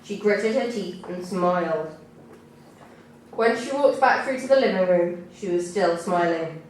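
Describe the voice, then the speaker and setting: A woman's voice: fairly low pitch, lively intonation, unhurried. Woman reading aloud in a very echoey room. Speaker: compere and writer reading own work. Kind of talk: live literary reading of poem and story. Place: indoor live event venue. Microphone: distant camera recording. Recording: echoey room recorded from far off, faint noise.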